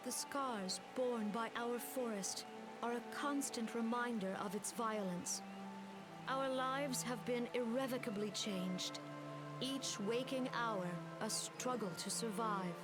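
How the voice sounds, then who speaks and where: A woman's voice: fairly high pitch, slow. A woman playing a solemn, gracious leader of a forest nation, giving stately, formal oratory in a fantasy game. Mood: grave. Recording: loud music under the speech.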